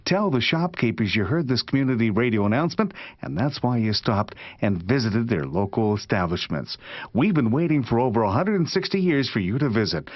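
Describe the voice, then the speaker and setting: A man's voice: mid-range voice, sing-song, brisk, no echo. A man reading a scripted promotional announcement as a community radio announcer. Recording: slightly dull, dry recording.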